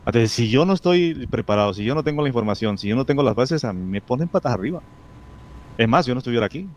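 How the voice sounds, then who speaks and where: A man's voice: medium pitch, animated, fast. A man answering as a hypnotherapist guest in a casual interview, speaking emphatically and repeating phrases with self-assurance.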